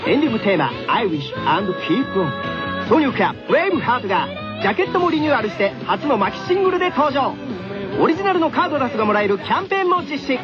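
High, quick female commercial announcer. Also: big pitch swings. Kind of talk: scripted radio advertisement. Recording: dull lo-fi radio recording. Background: music.

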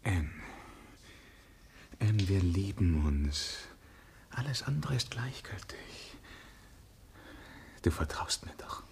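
An actor playing an impatient, cynical yet reassuring secret lover in a radio drama, intimate and insistent. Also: male voice, low voice, big pitch swings, slow, creaky, long pauses, slight background noise, heavily acted.

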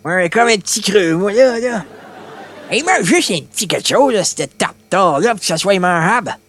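A man playing a cheerful, folksy, cheeky old grandpa with a Québécois accent and a creaky old voice, in a radio comedy serial. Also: sits high, animated, normal speed, fairly bright tone, some chatter behind, a little hiss or hum. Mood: pleased, muttering. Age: older. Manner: folksy, drawling exclamations and repeated tag words. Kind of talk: comedy radio serial acting in 1940s manner.

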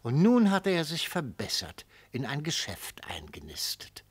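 A man's voice: fairly high pitch, wildly swooping pitch, a little slow, breathy edge, exaggerated voice. An actor playing a sly, gleeful retired prosecutor in a radio play, an older man speaking in florid, theatrical rhetoric. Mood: dramatic, insinuating. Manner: theatrical, rhetorical, relishing every phrase. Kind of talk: German radio play acting.

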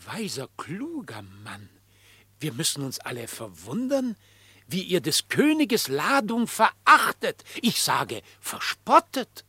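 Badger messenger for radio drama: bright high voice, formal declamation, dry studio sound. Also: male voice, no room sound, exaggerated voice. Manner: formal, emphatic, drawn-out declamation. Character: earnest badger nephew, a formal royal messenger. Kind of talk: classic radio play acting in verse.

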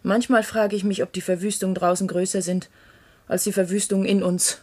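Woman playing troubled tired office worker in old sci-fi radio play, husky, mid-pitched. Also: little pitch movement, a little fast, darkish tone, lightly acted. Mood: troubled, weary. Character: troubled, worn-down female office colleague on a dying Earth. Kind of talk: 1970s German science-fiction radio drama acting.

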